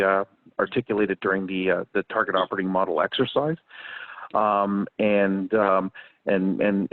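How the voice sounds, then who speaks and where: A middle-aged man with a Canadian accent speaking as a business panelist, halting between short phrases. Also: sits low, dry recording. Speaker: bank executive, webinar panelist. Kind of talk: unscripted business panel talk. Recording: dry, narrow phone-like call audio.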